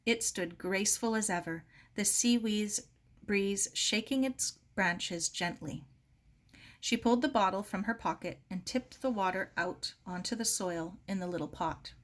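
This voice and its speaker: A woman narrates a children's novel aloud at an unhurried pace. Her voice sits at an ordinary pitch for a woman, and the delivery is that of expressive storytelling. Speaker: story reader.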